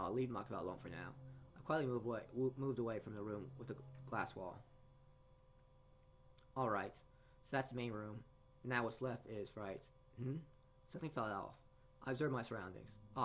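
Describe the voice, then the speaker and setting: A man narrating a visual novel aloud as a let's play host, often stumbling over words and re-reading them. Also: mid-range voice. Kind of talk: reading game text aloud with asides. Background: game music.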